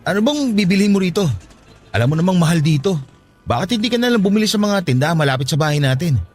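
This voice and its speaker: A man's voice: sits high, animated, quick pace, faint music behind. A man playing a defensive, exasperated poor father with a brusque, argumentative manner in a radio drama. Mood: evasive, irritated. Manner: brusque, argumentative, self-justifying. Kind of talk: radio drama acting in Tagalog.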